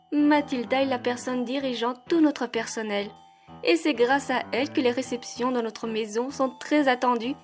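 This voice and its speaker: Woman as a high-voiced gracious duchess. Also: lightly acted. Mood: gracious, welcoming. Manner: refined, polite, airy, drawn-out. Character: gracious, effusive aristocratic duchess in steampunk manor. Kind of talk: French audio roleplay acting.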